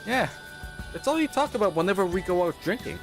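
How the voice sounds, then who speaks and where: A man's voice: high voice, big pitch swings, fast, faint music behind. A man acting a grumpy, lovelorn young man in an audio drama, with a dramatic, grumbling delivery. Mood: dry.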